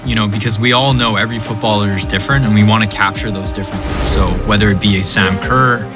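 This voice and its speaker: A man's voice: lowish voice, steady tone, fast, distant mic. A man speaking as a game developer in a promotional interview, explaining casually and conversationally. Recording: loud music behind voice.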